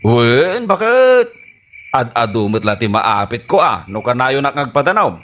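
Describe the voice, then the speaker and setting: A man's voice: mid-range voice, very animated, unhurried, fairly bright tone. An actor with an Ilocano accent playing a stubborn, amorous farmer husband in a radio comedy drama, drawing out his words and interjections. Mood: defensive, breezy.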